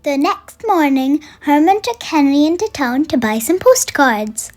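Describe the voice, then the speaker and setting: A storyteller reading a children's bedtime picture book aloud in an expressive way, made for young listeners. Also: sits high, measured pace. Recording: music under the voice. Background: music.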